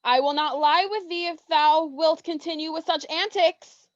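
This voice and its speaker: A woman's voice: high voice, no echo, muffled recording, natural voice. A woman acting a flighty, scheming young friend with theatrical mock-Shakespearean diction in a staged reading. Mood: exasperated. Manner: theatrical, drawn-out, archaic diction. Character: flighty, scheming, exasperated young female friend, matchmaking and cursing.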